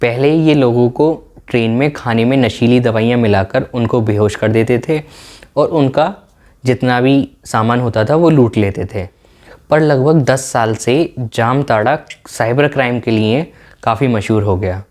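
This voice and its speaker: A man's voice: mid-range voice, unhurried, a little mellow. A man narrating in an explanatory, documentary style.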